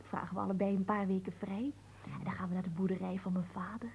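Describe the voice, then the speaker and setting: An actress playing a young peasant sweetheart in a radio play, theatrical and emotional, naive, doting and quick to jealousy. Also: female voice, mid-range voice, level tone, a little mellow, slight background noise, lightly acted. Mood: tender, dreamy.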